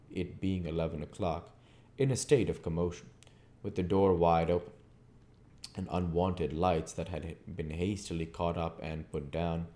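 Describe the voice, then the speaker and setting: Deep dark-voiced guy narrating an old novel, mellow, measured audiobook reading. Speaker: audiobook reader, channel host. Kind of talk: scripted narration of a classic novel.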